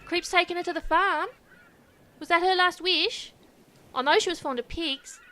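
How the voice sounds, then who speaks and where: Brash aussie presenter, really high sharp voice, indignant and matey, audio comedy. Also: female voice, normal speed. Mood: blithe, matey. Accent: Australian. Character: brash, dim, indignant Australian female show presenter.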